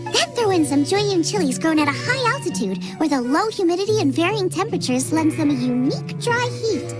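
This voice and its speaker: Eager young female game chef: tiny high voice, cheerful and confident, music underneath. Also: very animated, fairly quick. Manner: perky, eager, self-assured. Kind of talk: animated video game voice acting.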